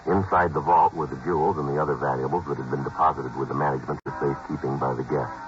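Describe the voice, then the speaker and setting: An actor playing a terse police detective sergeant who narrates his own case in flat, matter-of-fact sentences, from a 1950s radio drama. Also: rumbling low, fast talker, faint music behind. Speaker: lead character and narrator. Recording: dull, noisy old radio broadcast.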